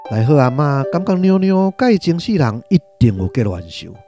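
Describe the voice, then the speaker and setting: A man's voice: fairly high pitch, sing-song, brisk. A man with a Taiwanese accent narrating a literary radio drama as a scripted storyteller. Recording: music behind the narration.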